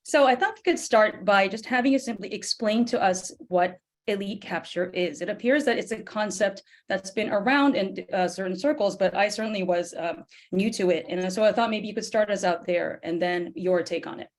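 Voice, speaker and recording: A hoarse woman on a video call, originally from Japan, introducing a guest and asking polite, thoughtful questions in an academic book talk. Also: medium pitch, normal speed, few pauses. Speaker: discussant.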